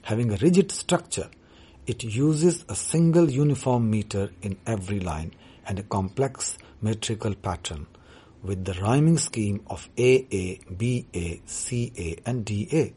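A man whose first language is Urdu, talking about his writing as a poet in a measured, deliberate way. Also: fairly low pitch, mellow, breathy edge. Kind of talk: reflective talk on his writing.

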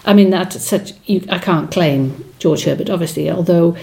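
A woman whose first language is Welsh talks about her writing in a slow, reflective way, pausing and restarting as she thinks. Her voice sits low, with a warm and mellow tone. She sounds like a guest in a relaxed interview. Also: gravelly. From Wales. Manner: thoughtful, hesitant, many fillers and restarts.

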